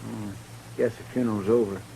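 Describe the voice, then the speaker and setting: An actor playing a loyal, easygoing deputy sidekick in a 1950s radio western, drawling and deferential. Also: male voice, on the low side, steady tone, fairly quick, gravelly, warm tone. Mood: uneasy, folksy. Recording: noisy old 1950s radio broadcast.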